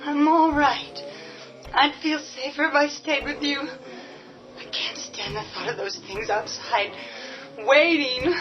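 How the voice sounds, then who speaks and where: A woman's voice: sits high, slow pace. A woman playing a terrified young woman in a radio horror drama, crying and shrieking in panic. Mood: fearful. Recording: dull lo-fi audio with music.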